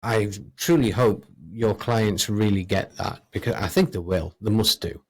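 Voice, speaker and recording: A man's voice: on the low side, unhurried. A man hosting a podcast interview, affirming his guest and repeating himself as he wraps up. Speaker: podcast host. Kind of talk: casual interview, signing off.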